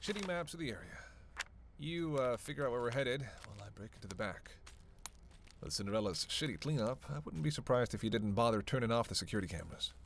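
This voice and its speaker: An actor playing a reluctant, sardonic vampire in an audio roleplay, sarcastic and grumbling, swearing freely. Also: male voice, mid-range voice, lively intonation, fast, vocal fry, slight background noise. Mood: dry, sarcastic. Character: sardonic, reluctant male vampire, hungry, cursing, teasing. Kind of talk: solo audio roleplay addressed to the listener.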